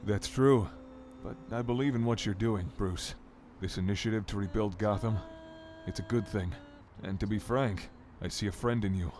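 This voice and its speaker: 남자가 연기하는 낮은 목소리의 진지한 정치인. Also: male voice, on the low side, a little mellow, a little hiss or hum, lightly acted. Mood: earnest, persuasive. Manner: sincere, measured, politician's polish. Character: earnest, persuasive male mayoral candidate. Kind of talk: audio drama acting.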